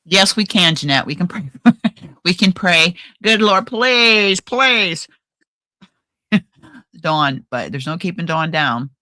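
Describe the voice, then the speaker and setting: Low bright-voiced chatty woman. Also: slow, long pauses, no room sound. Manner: chatty, animated, trails off and restarts. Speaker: co-host of a crafting livestream. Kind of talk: casual chat. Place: home livestream.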